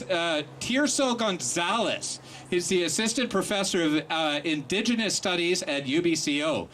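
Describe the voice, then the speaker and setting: A man with a high-pitched voice works as announcer, reading a formal introduction of a speaker. He trips slightly on long words, with faint outdoor noise behind him. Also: a bit bright, rough recording.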